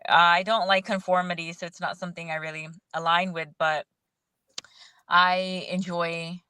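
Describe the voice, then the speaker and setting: Bright-voiced woman with Hindi roots. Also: on the low side, flat delivery, normal speed, clean tone, no room sound. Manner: reflective, candid, laughs often. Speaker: guest. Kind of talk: casual video-call conversation. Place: outdoors in the rain.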